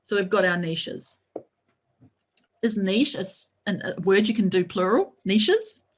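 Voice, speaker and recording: A woman's voice: mid-range voice, expressive, a little slow. Chatty encouraging New woman. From New Zealand. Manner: encouraging, talkative, leans on "right?". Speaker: lawyer and coach. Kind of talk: casual webinar talk.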